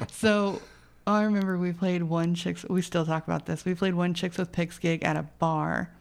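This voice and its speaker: A woman in her thirties, a singer-songwriter, chatting casually as a podcast guest, self-deprecating and quick to laugh. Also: fairly low pitch, flat delivery, gravelly, darkish tone.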